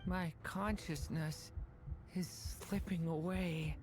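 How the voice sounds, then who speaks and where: A woman voicing a lost, confused newborn dragon who talks haltingly. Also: fairly low pitch, fairly even intonation, over music. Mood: weak, lost. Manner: halting, fragile and pleading. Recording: game soundtrack behind.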